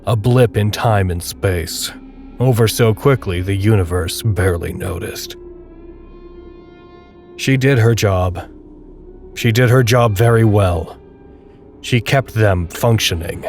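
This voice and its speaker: A man narrating a dark audio drama in a measured, literary, grave and detached manner. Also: fairly low pitch, soft background music. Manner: measured, literary, solemn storytelling.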